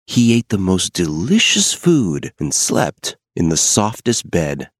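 A man narrating a children's fairy tale as an expressive, scripted storyteller. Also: deep voice, slow, dry recording, clean studio sound.

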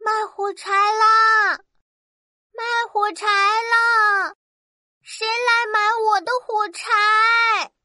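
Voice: very high-pitched, little pitch movement, very slow, pushed and bright, no echo, clean studio sound, exaggerated voice. A performer voicing a poor, cold, hungry little match-selling girl in a children's storybook, pleading and exclaiming.

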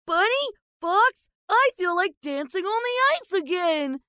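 Woman voicing a high, stammering little boy pig. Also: expressive, measured pace, sharp tone, dry recording, clean studio sound, exaggerated voice. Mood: cheerful. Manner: hesitant, stammering. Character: little boy pig, round, timid and silly. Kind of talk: children's bedtime story character acting.

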